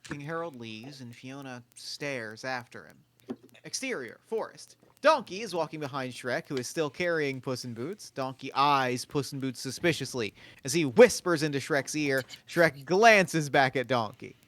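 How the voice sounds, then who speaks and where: A man's voice: mid-range voice, lively intonation, moderate pace, fairly bright tone. A man reading scripted stage directions as the narrator of a table read.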